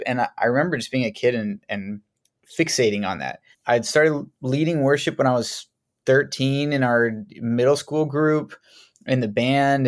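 A man's voice: medium pitch, darkish tone. A young American man, a singer-songwriter guest, sharing his story in a conversational way with frequent restarts. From United States. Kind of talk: casual personal account.

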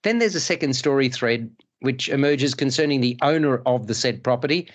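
A man's voice: medium pitch, brisk. A male podcast host and film critic, chatting casually and reviewing films with many restarts. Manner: stammering restarts, animated.

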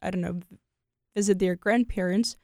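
A woman's voice: medium pitch, fairly even intonation, normal speed, a little mellow, no echo, studio quality. A young woman from Ukraine with a Ukrainian accent, a speaker of Ukrainian and Russian, chatting casually as an interview guest and filling her talk with "like" and "you know". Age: young adult.

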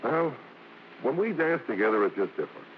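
Lively, brash American vaudeville hoofer. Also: male voice, medium pitch, slight background noise. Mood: awkward, sheepish. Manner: blustering, halting, slangy. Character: brash, bashful vaudeville song-and-dance man, gruffly affectionate. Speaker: actor and singer. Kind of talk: 1950s radio drama acting. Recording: muffled old radio broadcast with music.

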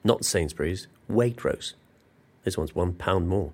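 Grumbly british office bloke, lowish raspy voice, dry and fussy, natural drama dialogue. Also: male voice, measured pace, darkish tone. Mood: wry grumbling. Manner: dry, pedantic, complaining.

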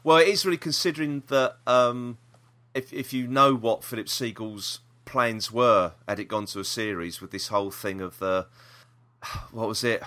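A man's voice: medium pitch, normal speed, pushed and bright. A British man hosting a podcast in casual chat, hesitant and rambling, often repeating himself. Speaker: podcast host.

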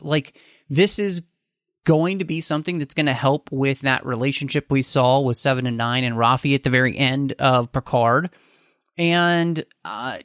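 A man's voice: medium pitch, a bit bright, no echo, studio quality. A man hosting a casual panel podcast, speaking in an enthusiastic, emphatic way. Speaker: podcast host.

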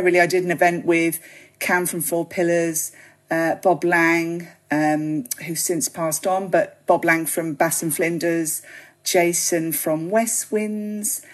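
A British woman from the UK, chatting as a podcast guest in a rambling way, full of ums and you knows. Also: lowish voice. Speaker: podcast guest, gin writer. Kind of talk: relaxed interview chat.

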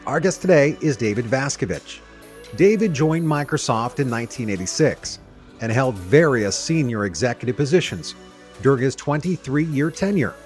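A man hosting an interview podcast, introducing his guest and asking eager questions. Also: mid-range voice. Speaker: podcast host.

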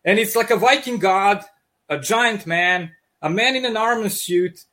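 A man with a thick Serbian accent speaks in a high-pitched voice over a video call. He is a comic artist guest telling stories in an animated, joking way, often restarting his sentences. The talk is loose and friendly. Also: measured pace.

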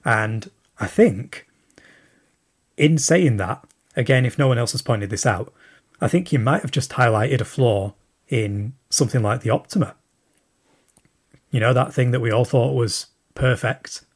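A man's voice: mid-range voice, measured pace, long pauses, breathy edge. A man sending a recorded question to a show, thinking aloud and rambling with plenty of fillers. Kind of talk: recorded listener message.

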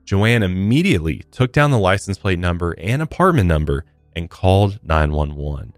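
A man with an American accent and a low voice hosts a true-crime podcast. He reads a prepared script and drops in blunt, conversational commentary. The sound is close and dry, with faint music underneath. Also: big pitch swings, moderate pace, no room sound. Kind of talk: scripted true-crime narration with casual asides. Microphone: close studio podcast microphone.

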